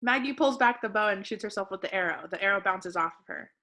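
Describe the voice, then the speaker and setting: A woman's voice: mid-range voice, quick pace, bright, forceful tone. A woman reading screen directions as scripted narration at a table read.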